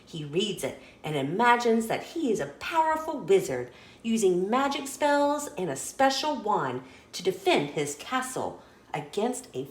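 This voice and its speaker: A woman reading a children's picture book aloud as a story host, in an expressive, deliberate storytelling manner. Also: lowish voice, echoey room.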